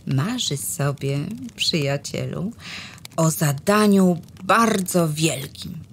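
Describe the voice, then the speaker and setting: A woman's voice: sits low, takes it slowly, a little hiss or hum. Woman narrating a rhyming children's story. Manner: expressive, sometimes dropping to a whisper.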